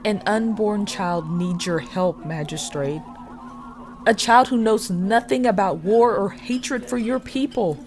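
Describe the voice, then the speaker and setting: A woman's voice: medium pitch, slow pace, a little mellow, slight background noise, close to an everyday voice. A woman playing a compassionate starship first officer in a sci-fi audio drama, earnest and persuasive. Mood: earnest pleading.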